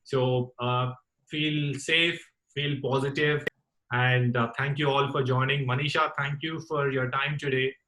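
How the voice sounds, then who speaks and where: A man hosting an online call-in talk session, speaking in a courteous, formal and halting way. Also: mid-range voice, level tone, bright, forceful tone, rough recording. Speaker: host of a campus radio talk session. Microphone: online group call.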